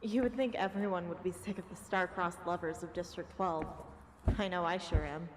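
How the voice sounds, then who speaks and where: A woman's voice: on the low side, fairly even intonation, moderate pace, heavy background noise, close to an everyday voice. A woman playing a guarded, strong-willed young heroine in an amateur radio drama. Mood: uneasy. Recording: music behind.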